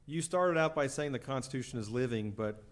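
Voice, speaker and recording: A man's voice: mid-range voice, brisk. Conversational male law professor. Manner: conversational, frequent "right?" and "you know". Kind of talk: audience Q&A after a lecture. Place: lecture hall.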